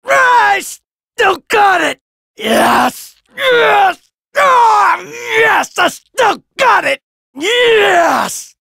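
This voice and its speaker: A man's voice: very high-pitched, big pitch swings, drawn-out words, creaky, bright, forceful tone, no echo, raised voice, studio quality, heavily acted. A man voicing a cynical, brash middle-aged American criminal in a video game, sarcastic and foul-mouthed. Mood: exhilarated. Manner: sardonic, brash, swearing.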